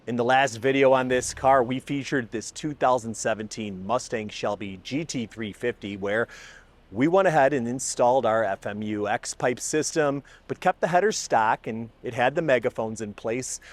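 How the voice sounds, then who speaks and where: Male car-video host, medium pitch, emphatic storyteller, enthusiastic and informal in explanation. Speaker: host of a car exhaust testing channel. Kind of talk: scripted narration and casual explainer to camera.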